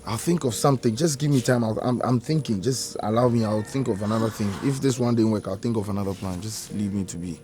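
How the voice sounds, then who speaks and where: A man playing a defensive, hot-tempered young man who shouts in disbelief and then drops to a tense whisper. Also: lowish voice, fast talker, few pauses, close to an everyday voice. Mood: tense hushed. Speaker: young man confronted by his partner. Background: music.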